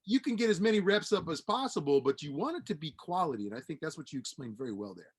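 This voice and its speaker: A man hosting a sports interview, asking long, rambling questions with animated energy. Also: a little high, fast talker, slightly rough. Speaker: interview host.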